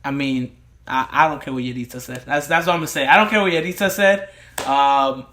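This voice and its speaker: A man's voice: medium pitch, fairly quick, sharp tone, slight background noise. A man in his twenties from Mexico, chatting casually as a podcast guest and stuttering often over repeated words. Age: 20s. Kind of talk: casual chat.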